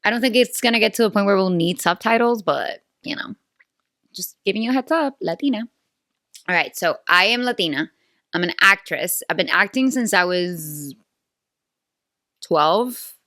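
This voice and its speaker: A woman's voice: mid-range voice, expressive, normal speed, pauses often, bright, forceful tone, no echo, studio quality. A woman in her thirties from Venezuela, a native Spanish speaker with a Venezuelan accent, hosting her own podcast in playful, chatty, self-aware talk. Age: 30s. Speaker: podcast host. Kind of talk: casual solo podcast chat.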